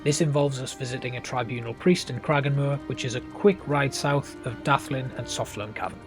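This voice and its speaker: A man's voice: medium pitch, steady tone, fairly quick. A male gaming host with a mid-range voice narrates a walkthrough. His delivery is casual and explanatory, with faint music playing underneath. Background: game music.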